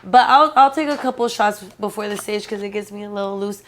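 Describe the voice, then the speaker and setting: Young female podcast host, casual chatty interviewer, mid-pitched voice. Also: fairly quick. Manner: relaxed, chatty, uses "like" often.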